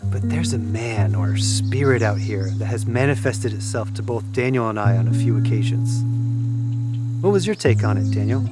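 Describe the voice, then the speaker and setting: An actor playing a thoughtful, gentle young older brother in an audio drama, speaking earnestly and reflectively. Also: male voice, on the low side, expressive, moderate pace, lightly acted. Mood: musing. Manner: earnest, reflective, wordy phrasing. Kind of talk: audio drama dialogue acting. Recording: music and crickets behind the dialogue.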